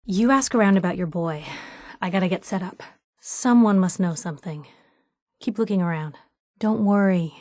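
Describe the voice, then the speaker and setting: A brash young newswoman from a video game, played by an actress, sarcastic yet caring, in a medium-pitched voice. She talks in an expressive, conversational way, close and dry with no room around her. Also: dry recording, close to an everyday voice. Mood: brisk, encouraging. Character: feisty, sarcastic young woman reporter, tough but caring companion. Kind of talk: video game companion voice lines.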